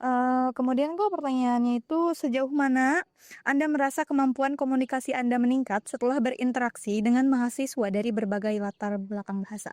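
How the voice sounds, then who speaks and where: Young woman asking the interview questions, formal, kinda high voice, dry sound. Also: fairly even intonation, brisk, a little mellow, no echo. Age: young adult.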